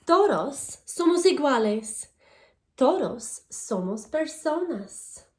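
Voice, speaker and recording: Slow, high-voiced woman teaching young children. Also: big pitch swings, a little mellow. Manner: encouraging, simple and deliberate, addressing young children with questions. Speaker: elementary school language teacher. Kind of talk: children's read-aloud lesson.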